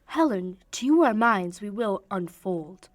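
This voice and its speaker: A woman's voice: medium pitch, animated, measured pace, dry recording, clean studio sound, lightly acted. A young woman playing an ardent young nobleman in love in a radio play of Shakespeare, speaking poetic verse. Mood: eager, conspiring. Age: young adult. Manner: declamatory, poetic verse delivery.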